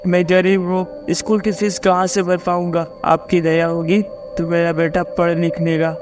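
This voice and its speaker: A man's voice: sits high, flat delivery, a little slow, over music. A man voicing a humble poor father, deferential and beseeching, in a cartoon story. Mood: pleading.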